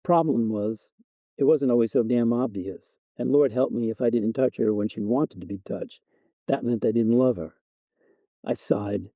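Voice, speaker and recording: A man narrating a first-person short story in a measured, wry literary reading style. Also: mid-range voice, dark tone, no room sound, highs cut off.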